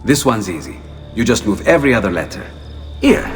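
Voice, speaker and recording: An actor playing a friendly, effusive Renaissance inventor in a video game. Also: male voice, fairly low pitch, huge pitch swings, fairly quick, faint music behind. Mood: eager, confident. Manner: effusive, exclamatory. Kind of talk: video game character acting.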